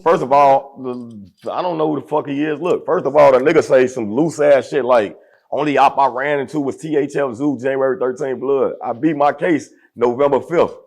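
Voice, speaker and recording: A man with a deep voice speaking as an interview guest in casual, aggressive street slang. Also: moderate pace, darkish tone.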